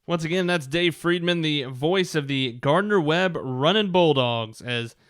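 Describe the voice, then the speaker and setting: Man, sports host, crisp smooth voice, medium pitch, dry close sound, conversational interviewing. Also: measured pace, fairly bright tone, no room sound. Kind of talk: conversational sports radio hosting.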